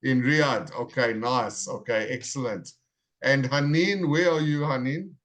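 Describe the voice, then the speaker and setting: A male English teacher runs his online class over a video call. He speaks at an ordinary mid pitch, slows down to repeat each student's name clearly, and keeps a friendly, encouraging manner. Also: fairly bright tone.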